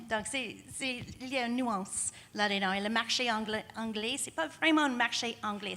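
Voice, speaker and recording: A woman from Canada whose first language is English, speaking on a panel with an English Canadian accent, halting and searching for words. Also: medium pitch, pushed and bright. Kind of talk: panel discussion.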